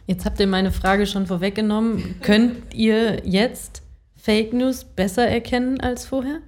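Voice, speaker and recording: Dark, mellow-voiced woman moderating. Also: medium pitch, fairly even intonation, unhurried. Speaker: interview moderator. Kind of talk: conversational interview questions.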